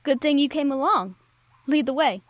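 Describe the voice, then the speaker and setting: A woman's voice: high voice, animated, moderate pace, no echo. A woman playing a brash, brave, wry treasure hunter in an audio roleplay, bantering informally with the listener. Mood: tense, questioning. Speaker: voice actor.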